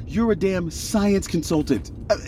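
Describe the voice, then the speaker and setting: A man's voice: sits high, a little slow, dark tone, a little hiss or hum. A man playing a brash, condescending showbiz power broker in a comedic audio drama, patronising and full of big promises. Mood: patronising, pushy.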